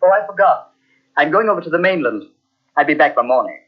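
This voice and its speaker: A man's voice: a little high, expressive, fast, breathy edge. An actor playing a secretive male host in an old radio drama, who speaks in a reassuring, evasive way. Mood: guarded. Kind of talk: 1940s radio acting. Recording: dull old radio transcription.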